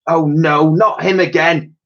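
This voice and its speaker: A man voicing an excitable, friendly, slightly muddled woman in a children's storybook, on a lo-fi recording. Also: fairly high pitch, a little slow, pitched above the performer's own voice. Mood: dismayed. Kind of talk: storybook character voice. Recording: dull, lo-fi recording.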